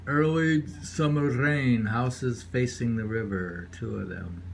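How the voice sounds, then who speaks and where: A man's voice: medium pitch, slow pace, gravelly, slight background noise. A man reading short poems aloud and musing on them casually, often repeating phrases. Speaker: poetry reader.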